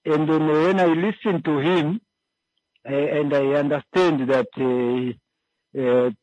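A man comments as a caller, in a fairly high voice for a man. He speaks slowly, halting often and staying polite, and the call sounds dull and lo-fi. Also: steady tone, a little mellow, dry recording. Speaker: caller to a radio discussion.

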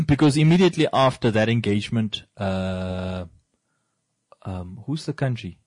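A man with a deep bass voice, hosting a casual studio discussion and explaining points at length. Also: expressive, measured pace, darkish tone, no echo. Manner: explains at length, rising in pitch when pressing a point. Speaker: radio show host. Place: broadcast studio.

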